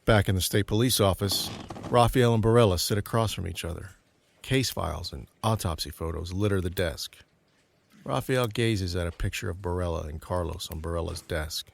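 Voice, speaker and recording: A man giving measured, suspenseful scripted narration for an audio drama. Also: low-pitched. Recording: clear background ambient noise.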